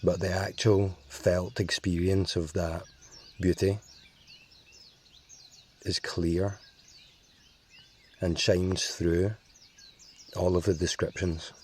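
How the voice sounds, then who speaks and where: A man guiding a meditation in a calm, measured way with long pauses. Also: low voice, a little hiss or hum. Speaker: meditation guide. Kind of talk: slow guided meditation.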